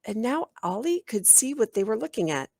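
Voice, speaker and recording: A woman reading a children's novel aloud as an expressive storyteller, in the manner of a teacher. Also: fairly high pitch, fairly quick, no room sound, clean studio sound. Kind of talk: children's audiobook narration.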